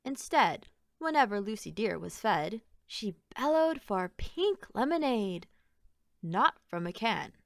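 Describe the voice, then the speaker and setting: A woman reading a rhyming children's story aloud in an expressive, sing-song way. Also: medium pitch, takes it slowly, vocal fry, no room sound, studio quality. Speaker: picture book reader.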